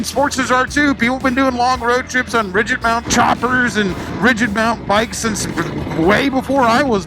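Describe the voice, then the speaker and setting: A man's voice: high-pitched, poor audio. A man hosting a motorcycle vlog with casual, slangy commentary and self-deprecating jokes.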